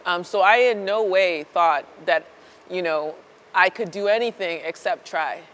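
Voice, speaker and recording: A woman's voice: lowish voice, unhurried, fairly bright tone, poor audio. A woman in her thirties from the Chicago area, answering interview questions in an earnest, reflective way with many fillers and restarts. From United States (Chicago area). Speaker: startup founder, guest.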